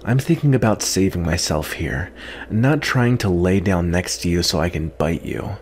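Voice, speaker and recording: A man acting out a proud, sardonic captive vampire in a soft-spoken, disdainful ASMR roleplay. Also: low voice, normal speed, slight background noise, lightly acted. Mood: scornful, exasperated. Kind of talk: ASMR roleplay, acted monologue.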